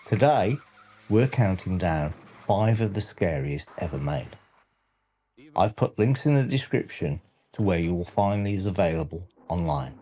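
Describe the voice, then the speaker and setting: A man narrating a scripted countdown documentary with a measured, dramatic delivery. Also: sits low, expressive, dark tone. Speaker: narrator and channel host.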